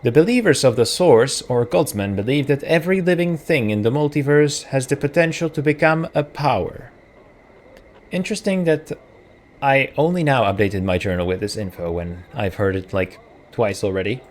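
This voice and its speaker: Let's-play host: a Czech-accented man of middle pitch, musing and correcting himself as he reads game dialogue aloud. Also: moderate pace. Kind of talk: casual gameplay commentary, reading game text aloud.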